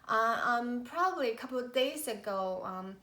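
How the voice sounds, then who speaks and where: A woman's voice: fairly high pitch, animated, pushed and bright. Nasal mother chatting in an echoey room. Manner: rambling, halting, many fillers and restarts. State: nasal from a cold. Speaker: vlogger, mother of three. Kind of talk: casual chat to camera.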